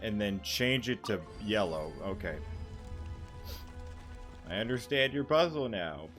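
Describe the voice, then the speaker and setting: A man's voice: fairly low pitch, expressive, unhurried. A man giving casual gameplay commentary as a streamer, with wry, offhand reactions. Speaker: gaming streamer. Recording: game music behind the voice. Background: video game music.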